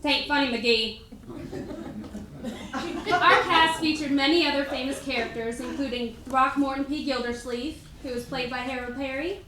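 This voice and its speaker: A woman acts out a cheerful radio comedienne reminiscing in a staged reading. Her voice sits high and she recites like a storyteller. The room is echoey, with faint background noise. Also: moderate pace, pushed and bright. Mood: cheerful, nostalgic. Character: female radio comedienne, cheerful wife recalling career. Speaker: presenter in a dramatic reading. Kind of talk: first-person scripted historical monologue. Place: indoor room at a live event.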